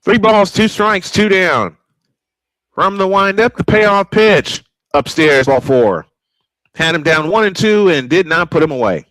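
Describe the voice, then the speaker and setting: A man's voice: high-pitched, lively intonation, measured pace, a bit bright, no echo, rough recording. Folksy man calling baseball play-by-play. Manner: folksy, old-school broadcaster cadence. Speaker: play-by-play announcer.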